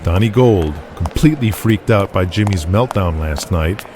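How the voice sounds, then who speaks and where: A man's voice: low-pitched, expressive, normal speed, noisy background. A man hosting and narrating an audio drama in a scripted, dramatic style. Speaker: narrator and host. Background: music, with guitar.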